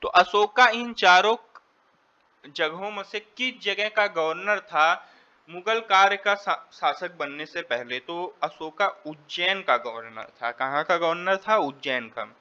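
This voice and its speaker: Indian male quiz tutor, slightly high voice, explanatory and repetitive, lo-fi sound. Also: lively intonation, takes it slowly, sharp tone. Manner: repeats and rephrases, switches between Hindi and English. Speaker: exam tutor. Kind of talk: quiz lesson, reading questions and explaining answers.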